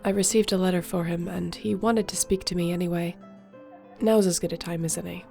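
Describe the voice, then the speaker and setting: A woman voicing a court sorceress in a scripted audio roleplay, speaking gently and conversationally. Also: lowish voice, steady tone, brisk, gravelly, soft background music. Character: warm, loyal female court sorceress.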